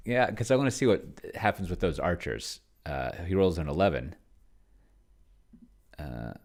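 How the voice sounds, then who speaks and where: Male gamer-host, very low gravelly register, unhurried, halting delivery, muttering through his moves as he works them out. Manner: halting, thinking out loud, muttering to himself. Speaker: solo tabletop gamer, video host. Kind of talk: casual think-aloud gameplay commentary while typing.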